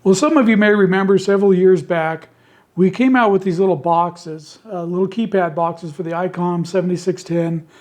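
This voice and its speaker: Male host, high mellow voice gone hoarse, easygoing rambling delivery, home radio shack. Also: moderate pace. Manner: easygoing and rambling, homespun phrasing. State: hoarse, worn-out voice. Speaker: ham radio channel host selling handmade keypads. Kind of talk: casual product talk to camera. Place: indoor radio shack with computer behind.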